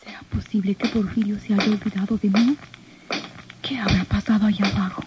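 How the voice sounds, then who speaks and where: A woman's voice: medium pitch, fairly even intonation, a little fast, dull audio, heavy background noise, natural voice. An actress playing a fearful, submissive young woman held by a gangster, hesitant and anxious, in an old radio soap opera.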